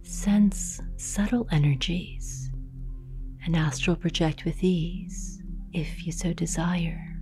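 Very slow, hushed woman guiding meditation. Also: fairly low pitch, music in the background. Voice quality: soft, breathy, near-whispered. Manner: calm, gentle, unhurried with long pauses. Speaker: yoga nidra meditation guide. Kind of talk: guided meditation, slow and soothing narration.

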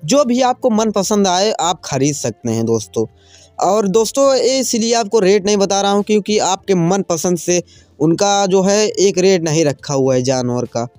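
Guy w high voice doing casual vlog narration, talks to viewers like friends, bit of music under it. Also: animated, normal speed, darkish tone, dry recording. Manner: informal, repeatedly addressing viewers as friends. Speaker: farm video channel host. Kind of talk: casual vlog narration, showing livestock for sale.

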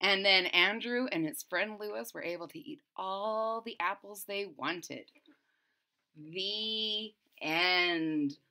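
A woman's voice: mid-range voice, takes it slowly, sharp tone. A middle-aged woman reading expressively to children, theatrical and emphatic, drawing out key words. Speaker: children's story reader. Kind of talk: expressive read-aloud storytelling for children. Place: small room at home.

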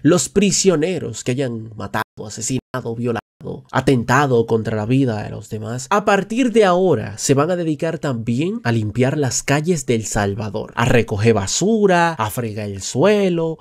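A young man hosting casual gossip news, animated and chatty. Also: mid-range voice, moderate pace, vocal fry, hardly any pauses. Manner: animated, chatty, laughs often. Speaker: YouTube news host.

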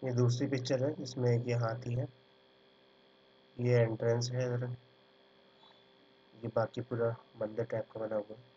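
A man's voice: mid-range voice, level tone, unhurried, long pauses, dark tone. A man teaching an art exam class, dictating notes word by word and then explaining pictures in a casual way. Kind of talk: slow dictated lecture over handwritten notes. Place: at a computer, screen recording. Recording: dull lo-fi sound with mains hum. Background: faint electrical hum.

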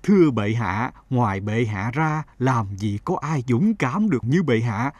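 A man playing an obsequious, formal courtier who flatters his emperor in a cartoon fable. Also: mid-range voice, measured pace, no echo, voice pushed down. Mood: fawning. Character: fawning adult male courtier flattering the emperor.

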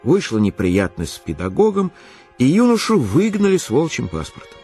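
An actor narrating a Russian literary radio play as an expressive, measured male storyteller. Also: medium pitch, soft background music. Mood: engaged storytelling.

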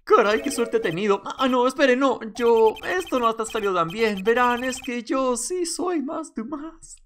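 A man voicing a timid young male defendant from a video game in a halting, nervous manner. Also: very high voice, expressive, a bit bright, slight background noise, voice pushed up, heavily acted.

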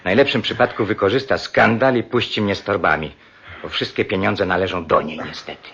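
Radio play villain: coldly scheming doctor, very low husky voice, matter-of-fact delivery, slightly muffled sound. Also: male voice, fairly bright tone, full character voice. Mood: calculating. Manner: deliberate, matter-of-fact while plotting. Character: scheming philandering doctor, coldly calculating murder plotter. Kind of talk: radio drama acting.

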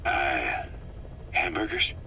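A man's voice: extremely low pitch, very animated, takes it slowly, gravelly, pushed and bright, heavily acted. An actor playing a wry, businesslike military man with an exasperated edge and casual phrasing, in a video game. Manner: casual, wry, hesitant asides. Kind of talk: scripted video game drama. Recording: faint background noise.

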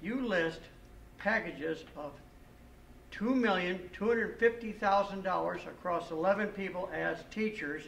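An older man lays out figures one by one in a methodical, pointed way, his voice sitting slightly high for a man. He sounds set back from the microphone in an echoey library room with a faint hiss behind him. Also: measured pace, gravelly, fairly bright tone, distant mic. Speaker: resident speaking at public comment. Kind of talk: public comment at a school board meeting. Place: school library meeting room. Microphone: podium microphone, picked up from a distance.

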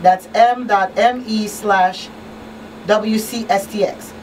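A woman's voice: mid-range voice, steady tone, takes it slowly, darkish tone. A woman presenting a live radio talk show as host in a small studio. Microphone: boom-arm studio microphone. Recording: slightly distant, faint room noise.

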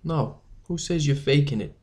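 A woman's voice: sits low, expressive, moderate pace, warm tone, husky, pitched below the performer's own voice. A woman voicing a sleepy, wisecracking teenage newsboy who grumbles in a dry manner in a storybook reading. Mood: grumbling.

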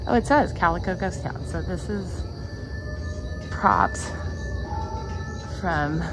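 A middle-aged woman, filming on a handheld phone as she walks through a dim indoor gift shop. She chats nostalgically, exclaims often and laughs softly. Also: low-pitched, low-quality recording. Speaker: vlogger touring a theme park store. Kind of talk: casual walkthrough commentary. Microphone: handheld phone camera. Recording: phone video over loud background music. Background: loud spooky music and sound effects.

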